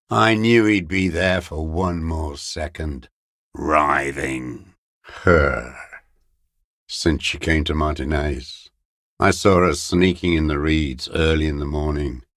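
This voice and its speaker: A man playing a bitter, hateful old communist deserter in a video game, brooding and contemptuous, with a gravelly, raspy voice and halting delivery. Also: very deep voice, fairly bright tone, no echo, clean studio sound, exaggerated voice. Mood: obsessive, brooding. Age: older. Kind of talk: video game character monologue.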